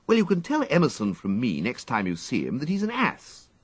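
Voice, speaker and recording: A man voicing a fretful, flighty young upper-class English gentleman who dithers and exclaims plaintively, in an audiobook story. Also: mid-range voice, wildly swooping pitch, a little fast, breathy edge, no echo, pitched above the performer's own voice. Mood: moody, self-pitying. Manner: exclamatory, dithering, drawling dandyish complaint.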